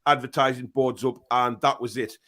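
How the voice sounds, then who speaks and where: Easygoing man, halting chat. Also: mid-range voice, steady tone, sharp tone. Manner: hesitant, many fillers and restarts. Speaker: podcast host. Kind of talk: casual live-stream chat.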